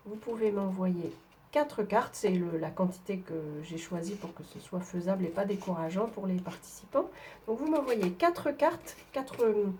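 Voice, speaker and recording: A woman's voice: medium pitch, dark tone. A woman from northern France talks through a craft tutorial while she works at her table. She has a head cold, so her voice sounds stuffy, and her easygoing, chatty delivery is full of small hesitations. A faint background noise runs under the recording. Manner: chatty, explains while working, frequent fillers. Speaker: papercraft demonstrator.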